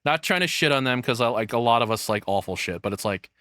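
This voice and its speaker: A man streams his live reactions in a loose, rambling, profane style. His voice sits at an average male pitch. The sound is dry and close, with no room to it. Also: animated, fast talker, pushed and bright, dry recording. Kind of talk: casual live reaction commentary.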